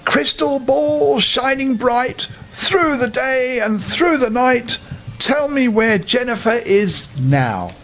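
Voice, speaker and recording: A man's voice: very high-pitched, animated, a little hiss or hum, voice pushed up, exaggerated voice, low-quality recording. Man reading as a kindly, lilting storybook witch. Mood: incanting. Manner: gentle, reassuring, unhurried. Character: kindly helpful female witch with magic island. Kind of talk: children's storybook character voice.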